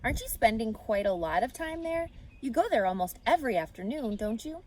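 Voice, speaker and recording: A woman's voice: a little high, sing-song, brisk, darkish tone, voice pushed up. A woman voicing a worried, puzzled farm mother in a children's storybook, concerned and questioning. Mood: gently probing. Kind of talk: children's storybook read-aloud.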